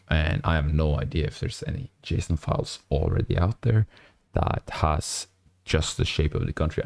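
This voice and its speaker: Hoarse, gravelly-voiced young man. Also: rumbling low, dry recording. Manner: mumbling, halting with many fillers; speaks quietly to avoid disturbing neighbors. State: hoarse, nearly lost voice. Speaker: programming streamer. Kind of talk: casual coding stream commentary. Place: small apartment room at night.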